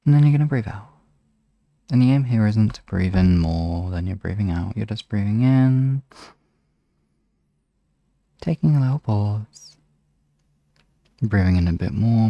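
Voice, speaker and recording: A man hosting a live ASMR hypnosis session, guiding relaxation in a soft, soothing, gently instructing manner. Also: fairly low pitch, vocal fry, no room sound, muffled recording.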